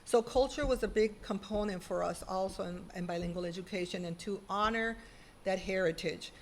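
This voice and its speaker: A middle-aged woman, a guest on a video-call interview, telling stories in an animated, emphatic way. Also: medium pitch, normal speed, slight background noise. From Puerto Rico. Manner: animated, emphatic storytelling with lively quoted asides. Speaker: guest, former school principal. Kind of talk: conversational interview.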